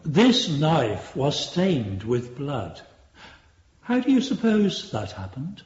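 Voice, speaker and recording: A man's voice: a little high, wildly swooping pitch, takes it slowly, darkish tone, heavily acted. An actor playing a stern, sceptical male Victorian prosecuting barrister in a radio courtroom drama, questioning formally and pointedly. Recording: roomy, slightly muffled courtroom sound.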